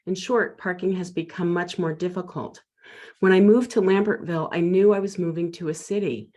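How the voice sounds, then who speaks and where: A middle-aged man reading a prepared public comment at a council meeting in a measured, concerned way. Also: sits high, steady tone, a little mellow. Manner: measured, concerned, read from notes. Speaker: resident giving public comment.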